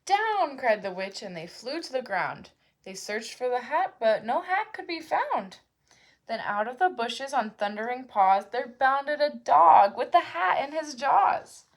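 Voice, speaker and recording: A woman's voice: high-pitched, animated, measured pace. A woman storyteller reading a children's picture book aloud expressively.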